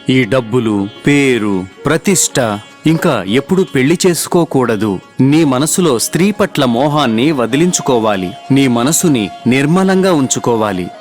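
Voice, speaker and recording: A man's voice: mid-range voice, a little mellow, close to an everyday voice. Man acting grave, kindly wandering holy man. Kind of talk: dramatised children's cartoon story.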